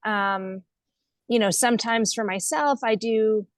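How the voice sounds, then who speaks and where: A woman's voice: a little high, unhurried, no room sound. American woman, very dry close sound. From United States. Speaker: guest speaker, nonprofit leader. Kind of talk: informal webinar answers, reflective. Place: online video call.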